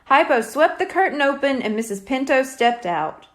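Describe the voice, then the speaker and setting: A woman narrating a scripted children's storybook as an expressive reader. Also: sits high, normal speed.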